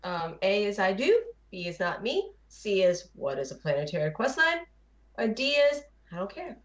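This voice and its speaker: A woman's voice: mid-range voice, very animated, normal speed. A woman chatting casually as a panelist on a gaming show, over dull lo-fi voice chat audio.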